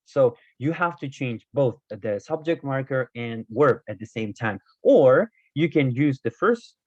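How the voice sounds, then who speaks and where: A man whose first language is not Korean, explaining Korean grammar in a casual video lesson, hesitant with many fillers and self-corrections, his voice a little hoarse. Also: mid-range voice, animated, unhurried, a little mellow, dry recording. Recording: dull, dry lo-fi recording.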